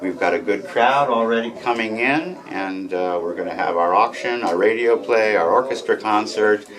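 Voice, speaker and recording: A man's voice: fairly low pitch, moderate pace, low-quality recording. A man hosting an event, giving an informal introduction on location. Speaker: event host. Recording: busy crowd behind him.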